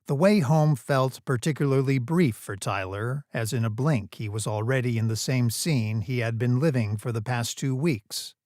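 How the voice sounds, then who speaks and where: A man's voice: mid-range voice, normal speed, hardly any pauses, a little mellow, dry recording. Guy narrating a written story, midrange voice, super dry close sound.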